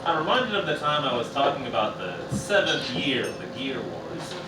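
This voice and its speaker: A man voicing a rambling, pedantic mechanical man with halting delivery in a live comic radio play. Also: mid-range voice, very animated, distant mic, voice pushed down, natural voice. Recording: echoey room from afar, noisy.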